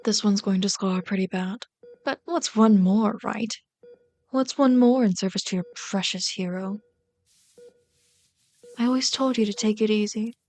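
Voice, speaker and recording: A woman's voice: medium pitch, a little slow, long pauses, natural voice. A woman playing a bitter but caring villain queen in an ASMR roleplay, scolding and sardonic, often exasperated. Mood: hurt, bitter. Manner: scolding, sardonic, exasperated, dropping to hushed asides. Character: bitter, caring female villain rat queen tending a captive sidekick. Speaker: voice actor in an audio roleplay.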